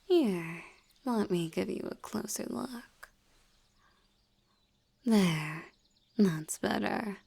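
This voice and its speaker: Woman acting as a female vampire hypnotist, seductive, teasing, commanding, dark velvety tone, slightly creaky edge, soft near-whisper, intimate audio roleplay. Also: medium pitch, animated, full character voice. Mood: hypnotic, commanding. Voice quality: soft, breathy, often slipping into whisper. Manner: slow, coaxing, teasingly dominant.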